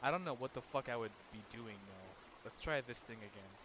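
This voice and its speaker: A sniffly young man with a cold mumbles and swears his way through a game in a casual let's-play. His voice sits at an ordinary middle pitch, and clear background hiss runs under the noisy home recording. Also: fairly quick. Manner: mumbling, swears often, reads game lines aloud. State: sick and sniffly. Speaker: gaming youtuber.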